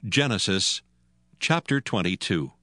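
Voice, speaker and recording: A man narrating scripture in a solemn, measured and formal scripted style. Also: on the low side, lively intonation, sharp tone, no echo, clean studio sound.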